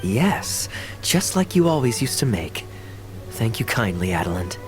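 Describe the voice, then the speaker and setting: A man voicing a suave young captain in a video game, affectionate and courteous, with a gently theatrical manner. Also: on the low side, sing-song, measured pace. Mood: pleased, courteous. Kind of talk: video game character acting. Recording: background music under the voice.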